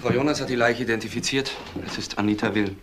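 A man's voice: medium pitch, steady tone, fast talker, noisy background, lightly acted. A man playing an impatient assistant police detective in a crime radio drama, pressing and businesslike.

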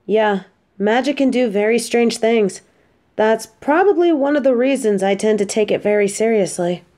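A woman's voice: on the high side, normal speed, mellow, close to an everyday voice. A woman playing an affectionate, teasing supervillain girlfriend in an intimate roleplay audio drama, speaking tenderly and playfully.

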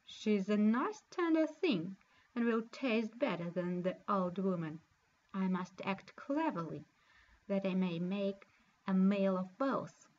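A cunning male wolf from a storybook, as a woman reader puts him on, coaxing and scheming in a voice dropped below her own. He talks slowly and sweetly, and the recording sounds a little dull and lo-fi. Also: mid-range voice, expressive, darkish tone, voice pushed down.

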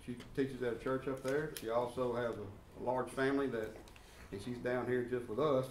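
Halting man in an echoey room. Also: mid-range voice, fairly even intonation, recorded from a distance. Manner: halting, with many uh fillers. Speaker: church class leader. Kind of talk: introduction and prayer. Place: church classroom. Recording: roomy echo, from off mic, faint room noise.

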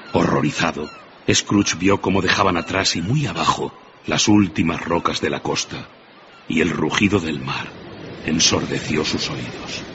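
A man's voice: low-pitched, muffled recording, heavy background noise. A man narrating a scripted dramatic story as a radio-play narrator. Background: music.

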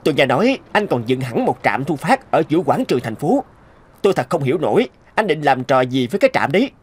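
Scornful, swooping rival boss. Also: male voice, fairly high pitch, very animated, fast, husky. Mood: mocking. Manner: mocking, condescending. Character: scornful, arrogant male rival research boss. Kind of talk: Vietnamese cartoon dub acting. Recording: music under the dialogue.

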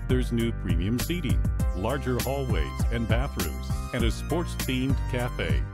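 A man with an American accent reading a scripted newsreel narration. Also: medium pitch. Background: music bed.

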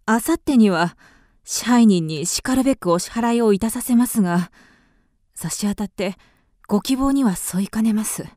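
An actress playing a haughty, grieving widow with refined, formal speech in a radio drama. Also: female voice, medium pitch, expressive, moderate pace, dark tone, dry recording, clean studio sound, close to an everyday voice. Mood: polite, reserved. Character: proud, grieving young widow, haughty and indignant.

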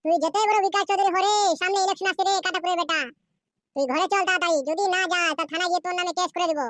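A high-voiced performer playing furious scorned wives in a Bengali comedy cartoon, ranting and scolding in a shrill, pitched-up childlike voice. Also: fairly even intonation, rapid-fire, fairly bright tone, dry recording. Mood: scolding, threatening. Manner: scolding, foul-mouthed, ranting.

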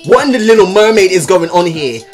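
A man's voice: sits high, expressive, a little fast. A young man hosting a music video reaction from his bedroom, sassy and exclamatory, swearing freely. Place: bedroom at home.

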